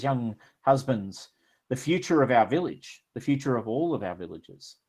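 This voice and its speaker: Guy doing old portly village headman, humble, halting, sad, voice jumps around a lot. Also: medium pitch, a little mellow. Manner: humble, grieving, halting speech.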